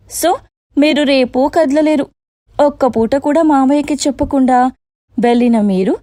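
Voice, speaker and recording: A woman's voice: high-pitched, mellow, no echo, clean studio sound, close to an everyday voice. A woman voicing a crafty young daughter-in-law in a cartoon moral story, coaxing and chatty.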